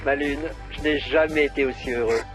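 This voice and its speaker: A man playing a giddy, lovestruck dog in a French-dubbed children's cartoon, with gushing, drawn-out delivery. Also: fairly high pitch, steady tone, over music, natural voice.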